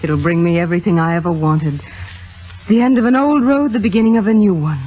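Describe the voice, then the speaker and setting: Scheming young stage actress played by a woman, lowish poised voice, theatrical radio style, muffled vintage recording. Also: animated, fairly quick, dark tone, faint music behind, close to an everyday voice. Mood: calm, confident. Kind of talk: 1950s radio drama acting.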